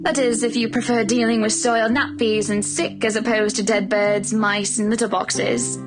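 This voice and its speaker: A woman playing a sweet, playful, wistful young wife in a visual novel, gentle and teasing. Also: fairly high pitch, little pitch movement, moderate pace, lightly acted. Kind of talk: visual novel character acting. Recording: guitar music behind the voice.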